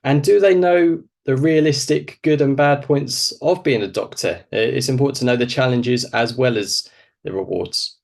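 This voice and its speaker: A man presents in the role of an adviser to students, sounding snuffly with a cold. His pitch is middling and his delivery is informal and explanatory, often built around questions he then answers. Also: normal speed, vocal fry, a little mellow. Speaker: presenter, doctor advising medical school applicants.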